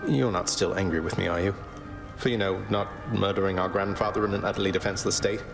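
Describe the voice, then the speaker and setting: A man's voice: sits low, steady tone, fast talker, gravelly. A man playing a young underworld prince, an easygoing, affectionate big brother with a casual, wry delivery. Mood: fond, wry. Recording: music behind the voice.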